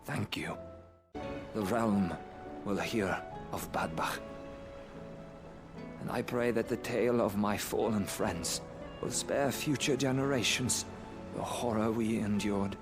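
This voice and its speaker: Solemn, slow-spoken, low-voiced grieving survivor. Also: male voice, music in the background. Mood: grateful, resolute. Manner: solemn, formal, measured speech. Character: grieving, earnest man who survived a cruel orphanage. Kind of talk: dramatic video game acting.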